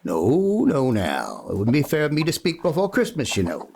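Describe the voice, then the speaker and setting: Actor as kindly Old West drifter, folksy, halting, lively pitch swings, faint noise, radio play. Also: male voice, gravelly. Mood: wry, teasing. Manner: folksy, halting, gently coaxing. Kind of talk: western radio play acting.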